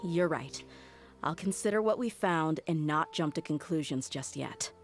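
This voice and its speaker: A woman's voice: deep voice, animated, normal speed, faint music behind. A woman playing a loyal, frank mercenary in a video game, with a firm and resolute manner. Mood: grim reasoning. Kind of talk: video game character acting.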